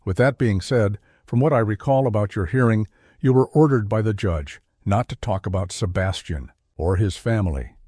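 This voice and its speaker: A performer playing a calm, needling mock reverend who hosts a scripted satirical interview in a measured, deadpan way. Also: mid-range voice, husky, no room sound, studio quality, lightly acted. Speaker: host.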